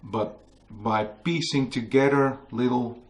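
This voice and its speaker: A man from the Netherlands with a Dutch accent, telling a story to an audience at a book talk and often pausing to search for English words. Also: medium pitch, steady tone, vocal fry, slight background noise. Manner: hesitant, searching for English words, many fillers. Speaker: author giving a book talk. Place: museum hall with a live audience.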